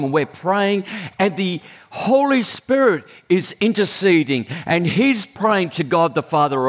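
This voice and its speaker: Animated male preacher, conversational sermon. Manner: animated, conversational preaching with sudden high exclamations.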